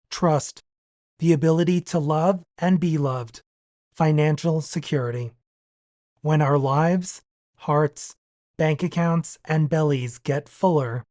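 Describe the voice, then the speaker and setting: A man's voice: fairly high pitch, dark tone, dry recording. Man reading a meditation, close dry sound. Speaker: podcast guest reader. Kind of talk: reading a daily meditation aloud.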